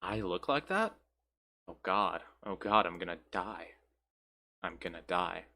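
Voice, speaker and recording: A man's voice: sits low, expressive, measured pace, bright, forceful tone, heavily acted. A man voicing a despairing, self-loathing young woman in a fantasy story, bleak and subdued.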